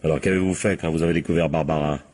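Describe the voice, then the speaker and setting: An actor playing a sardonic, relentless police captain interrogating people in a French radio crime play, pressing and authoritative. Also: male voice, very deep voice, steady tone, rapid-fire, a little mellow.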